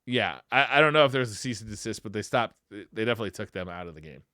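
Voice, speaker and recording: A man's voice: fairly low pitch, expressive, very fast, sharp tone, no room sound, studio quality. A man co-hosting a casual retro gaming podcast, talking in a conversational way. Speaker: podcast co-host.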